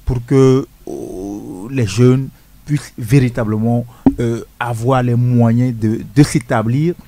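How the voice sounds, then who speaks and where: A male radio host with a mellow, warm tone and a middle pitch. He asks his questions in a measured way, pausing and filling with hesitations as he goes. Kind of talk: radio discussion.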